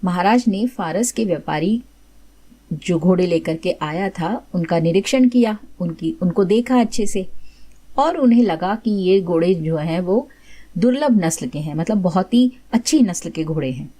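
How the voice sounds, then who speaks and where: A woman's voice: fairly low pitch, expressive, normal speed, warm tone. A woman host narrating a folk tale in a conversational storyteller's style, explaining and rephrasing as she goes.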